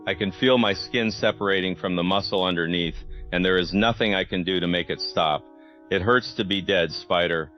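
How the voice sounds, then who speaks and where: A man's voice: deep voice, normal speed, lo-fi sound, faint music behind, close to an everyday voice. An actor playing a suffering undead man who pleads in anguish, in a horror radio drama. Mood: anguished pleading. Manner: anguished, begging.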